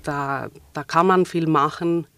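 A middle-aged woman with a Swiss German accent, a guest in a relaxed interview, talking with many fillers and restarts. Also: low-pitched, a little slow, dry recording. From Switzerland. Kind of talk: casual interview conversation.

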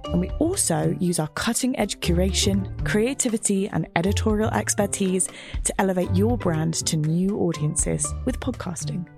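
A woman's voice: medium pitch, normal speed, gravelly, darkish tone. A woman producer reading a scripted promotional spot in an upbeat, polished way. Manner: upbeat, polished sales delivery. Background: music.

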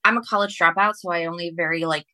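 A woman's voice: on the low side, fairly even intonation, pushed and bright, dry recording. A woman from Ecuador, a non-native English speaker, chatting as a guest author on a podcast, often doubling back and repeating phrases. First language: Spanish. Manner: halting, self-correcting, repeats phrases. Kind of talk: casual podcast interview, storytelling.

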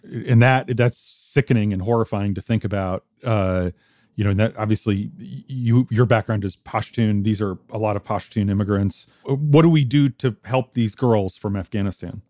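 A man with a lowish, dark and mellow voice works as an interviewer. He puts serious questions in a measured, sober manner. The sound is very dry, with hardly any room on it. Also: no room sound.

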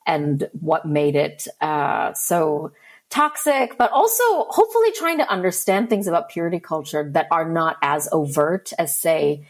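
Low-voiced woman, relaxed guest. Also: measured pace. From Philippines and Arkansas, USA. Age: about 40. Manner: relaxed, thoughtful, laughs often. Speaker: podcast guest, writer. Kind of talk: casual interview. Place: Australia, remote call.

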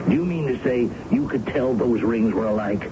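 A man's voice: medium pitch, normal speed, noisy background, lightly acted. An actor playing a sensible, exasperated middle-aged father lecturing his grown daughter in a 1970s radio drama. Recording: dull 1970s radio broadcast with music.

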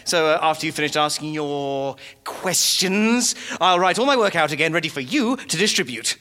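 An actor playing a vain, self-pitying writer from the past in live audio comedy, pompous and stammering when flustered, recorded on stage in an echoey hall. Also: male voice, a little high, expressive, moderate pace, bright, forceful tone. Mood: grandiose.

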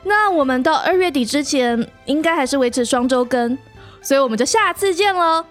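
A woman's voice: sits high, lively intonation, a little fast, faint music behind. A woman with a Taiwanese Mandarin accent hosting a podcast in casual, cheerful chat. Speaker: podcast host.

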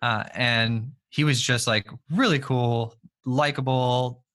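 A man's voice: medium pitch, fairly even intonation, slow pace, fairly bright tone. A young man hosting a podcast, chatty and casual, filling his talk with "like". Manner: chatty, heavy use of "like". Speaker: podcast host.

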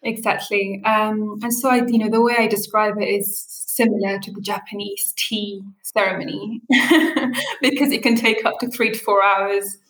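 A woman's voice: mid-range voice, little pitch movement, normal speed, fairly bright tone, echoey room, rough recording. A woman from Eritrea and Ethiopia, a coffee brand founder, telling her story earnestly in an interview with frequent fillers. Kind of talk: interview answers.

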